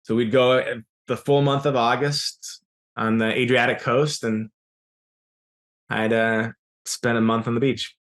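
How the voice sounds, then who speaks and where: Measured man, native Serbian speaker. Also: mid-range voice, level tone, fairly bright tone. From Yugoslavia. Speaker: founder of an IT services company, interview guest. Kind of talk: business interview answers.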